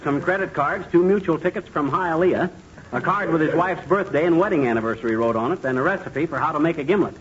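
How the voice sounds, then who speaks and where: A man's voice: on the high side, fast talker, close to an everyday voice. An American man playing a folksy, blustering middle-aged husband in a 1940s radio comedy, with slangy grammar. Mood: chatty. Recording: muffled, hissy 1940s radio recording.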